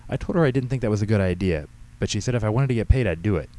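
A man's voice: lowish voice, expressive, rapid-fire, dark tone, pitched above the performer's own voice, lightly acted. A man voicing an indignant, defensive teenage girl who protests insistently in an audiobook story. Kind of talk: audiobook character dialogue.

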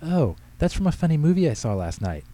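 Storybook little girl, about four, played by a man: curious, earnest, innocent, clearly raised pitch. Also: big pitch swings, brisk, mellow. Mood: innocent, eager. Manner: simple, earnest childlike talk.

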